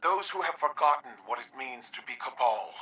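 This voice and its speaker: An actor playing a brooding alien warrior leader, speaking solemnly and slowly in a game cutscene. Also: male voice, mid-range voice, expressive, pushed and bright, recorded from a distance, rough recording. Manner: solemn, slow, measured. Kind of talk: video game cutscene acting.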